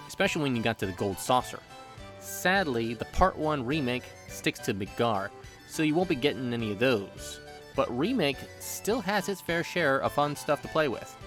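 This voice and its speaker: Guy doing a jokey scripted game review, mid pitched voice, music playing behind him. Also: very animated, moderate pace. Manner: playful, jokey. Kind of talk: scripted review narration with joking asides. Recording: background music under voice.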